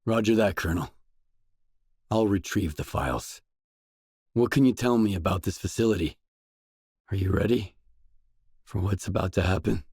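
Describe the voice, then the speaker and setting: An actor playing a determined, brooding stalker in a grim video game, with a measured, serious, understated delivery. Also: male voice, a little mellow, no room sound, studio quality, full character voice. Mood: matter-of-fact. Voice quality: low, husky. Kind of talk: game voice acting, isolated dialogue lines.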